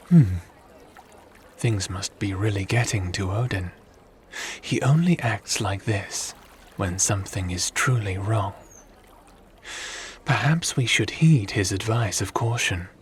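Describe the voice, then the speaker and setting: A man playing a calm, curious, formal god-like spirit in an ASMR audio roleplay, speaking in measured, pensive phrases. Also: on the low side, slight background noise. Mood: calm, studious.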